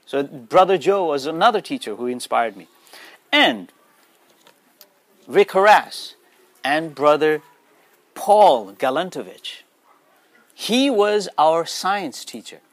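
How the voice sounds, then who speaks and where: A man from Nepal, whose first language is Nepali, speaks earnestly to a room of students and keeps checking in with them. His voice sits a little higher than most men's. The sound is dry and close, with almost no room echo. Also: lively intonation, slow, pauses often. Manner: earnest, asks the audience questions. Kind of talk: motivational school talk.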